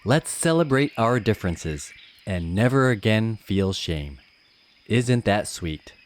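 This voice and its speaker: A man playing a gentle, patient ape papa who reads to his child in an expressive, gently instructive way. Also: on the low side, takes it slowly. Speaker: storybook reader. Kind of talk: animated children's read-aloud.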